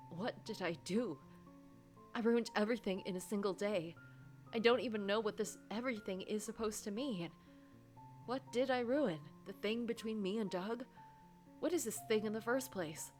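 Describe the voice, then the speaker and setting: Girl acting out a guilty anxious office worker, wounded and halting, story game narration with music under it. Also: mid-range voice, darkish tone, close to an everyday voice. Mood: bitter distress. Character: anxious, guilt-ridden, self-loathing office worker narrating own heartbreak.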